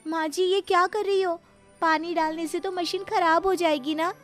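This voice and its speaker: Woman voicing a naive, high-pitched young village bride. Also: fairly even intonation, moderate pace, fairly bright tone, soft background music. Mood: naive, curious. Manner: simple, artless, polite to elders. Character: naive young village daughter-in-law, earnest and innocent. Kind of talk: Hindi cartoon storybook dialogue.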